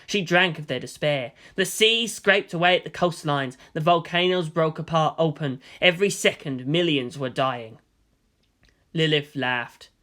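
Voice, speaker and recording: Story narrator, sharp forceful tone, solemn, portentous, deliberate phrasing, dramatic scripted reading. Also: medium pitch. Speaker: fan fiction narrator.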